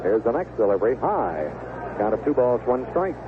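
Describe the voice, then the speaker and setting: A man calls a ball game in a folksy, conversational manner, his pitch swinging in an animated way. The sound is a thin, narrow 1970s radio broadcast with clear noise behind him. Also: normal speed. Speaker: baseball play-by-play announcer. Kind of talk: 1970s radio baseball commentary. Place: stadium broadcast booth. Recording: lo-fi, noisy vintage AM radio broadcast. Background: ballpark ambience and noise.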